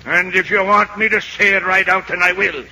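An actor playing a proud, gruff, tormented old lighthouse keeper in an old-time radio drama, with emotional seafaring speech. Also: male voice, high voice, fairly even intonation, normal speed, pushed and bright, exaggerated voice. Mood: angry, bitter. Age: older. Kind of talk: 1930s-40s radio drama acting. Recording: noisy vintage radio drama recording.

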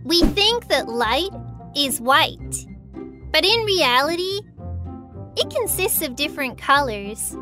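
A woman's voice: sits high, very animated, slow pace, over music, heavily acted. A woman voicing a sensible, kindly young girl explaining things in a children's cartoon. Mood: gentle, explaining.

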